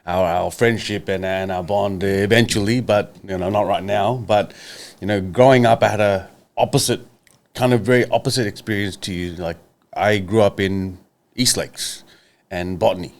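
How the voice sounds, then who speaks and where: An Australian man in his thirties, co-hosting a podcast, earnest and halting as he steers the chat with questions. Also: on the low side, fairly even intonation. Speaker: podcast co-host.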